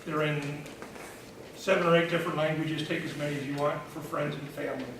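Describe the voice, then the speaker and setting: A man moderating a community meeting, briskly and politely inviting others to speak. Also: fairly high pitch, little pitch movement. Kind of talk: moderating introductions. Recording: echoey room, distant mic, noisy.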